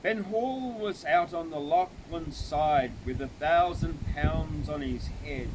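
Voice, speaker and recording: A man reciting a bush ballad in a theatrical, measured way with emphatic phrasing. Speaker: poetry reader. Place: outdoors at a cave site. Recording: very noisy, clicks, vehicle-like rumble.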